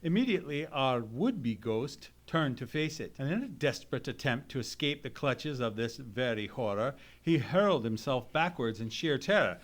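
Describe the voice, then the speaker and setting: An actor playing an earnest gentleman sidekick who tells a mystery in a formal, dramatic radio-play style. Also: mid-range voice, animated, normal speed, few pauses, lightly acted. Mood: dramatic, suspenseful. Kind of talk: dramatic narration in old-time radio play.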